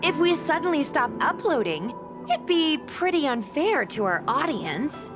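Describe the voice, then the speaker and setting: A woman's voice: high-pitched, sing-song, a little slow. A woman playing a perky, confident young streamer in an anime-style video game. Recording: background music under the voice.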